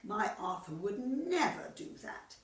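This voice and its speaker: A woman's voice: medium pitch, very animated, a little slow. A woman playing a sweet, scatty older lady, innocent and rambling, in an amateur radio comedy. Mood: wistful, innocent. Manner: innocent, apologetic, wistful rambling. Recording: echoey, distant, noisy home recording.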